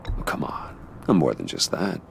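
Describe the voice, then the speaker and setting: A man's voice: extremely low pitch, very animated, normal speed, gravelly, in a whisper, full character voice. An actor playing a stern, guarded hero in a video game, a man with a terse, controlled, serious delivery. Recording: very loud game music underneath.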